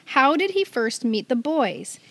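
A middle-aged woman hosting a casual podcast in a playful, animated and jokey manner. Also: sits high, unhurried, no echo. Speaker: podcast co-host and quizmaster.

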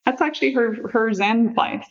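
A middle-aged woman from Northern Ireland with a softened Northern Irish accent, chatting informally as a guest in a casual interview. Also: fairly high pitch, moderate pace, lo-fi sound. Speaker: podcast guest, company chief executive.

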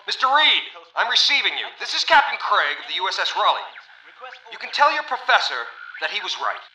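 An actor playing a blunt, commanding navy captain in a radio drama, speaking over a ship's radio. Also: male voice, high-pitched, very animated, fairly quick, pushed and bright, roomy sound, full character voice, low-quality recording. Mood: businesslike. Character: gruff, commanding male navy ship captain. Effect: radio transmission.

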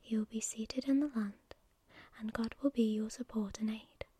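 A woman voicing a calm, commanding male angel messenger in a breathy whisper, with a measured, preaching delivery. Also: fairly high pitch, slight background noise, close to an everyday voice. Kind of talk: whispered storytelling.

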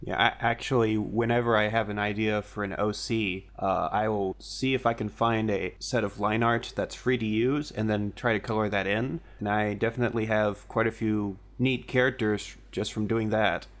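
A man's voice: lowish voice, fairly even intonation, moderate pace, hardly any pauses. A man co-hosting a podcast, speaking thoughtfully and with slight hesitation. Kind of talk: casual conversation.

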